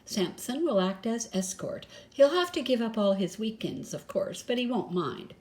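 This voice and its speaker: A sensible, chummy storybook mouse chap, read by a woman. The delivery is brisk and matter-of-fact, in a mellow, slightly lifted tone. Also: on the high side, animated, natural voice. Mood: brisk, practical. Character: practical, brisk, chummy male church mouse organiser.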